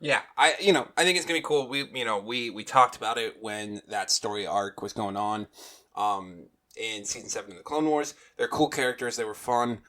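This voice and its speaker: A man's voice: lowish voice, normal speed, bright, forceful tone. A man co-hosting a casual fan podcast, talking emphatically and often repeating himself. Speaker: podcast co-host.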